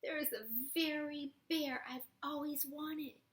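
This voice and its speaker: A woman voicing an eager little girl in a storybook, excited and pleading. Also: high-pitched, slow, voice pushed up. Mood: excited longing. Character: eager little girl longing for toy bear.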